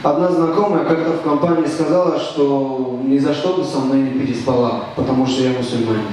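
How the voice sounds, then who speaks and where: Deadpan young comic. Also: male voice, medium pitch, distant mic. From Tajikistan. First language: Tajik. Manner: deadpan, halting with restarts and fillers. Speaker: stand-up comedian. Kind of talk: stand-up comedy set. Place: stage in a club. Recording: echoey room recorded from afar, faint noise.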